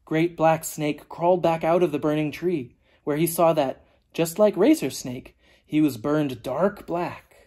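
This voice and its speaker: A storyteller reading a children's book aloud in an animated, deliberate way with dramatic emphasis. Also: mid-range voice. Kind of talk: expressive read-aloud narration for children.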